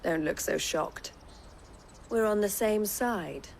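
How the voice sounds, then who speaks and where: An actress playing an assured, shrewd woman who leads a trading caravan in a fantasy game, speaking in formal, gently wry, measured phrases. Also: mid-range voice, vocal fry, slight background noise. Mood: assured, persuasive. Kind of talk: scripted fantasy game voice acting. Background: background music.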